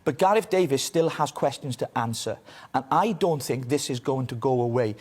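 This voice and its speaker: A man's voice: medium pitch, lively intonation, a little mellow. A Welsh man giving forthright, opinionated political commentary in a radio interview. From Wales. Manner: forthright, opinionated, quick delivery.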